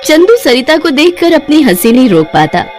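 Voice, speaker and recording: A woman's voice: high-pitched, big pitch swings, normal speed, soft background music. A woman giving scripted storybook-style narration for a cartoon tale.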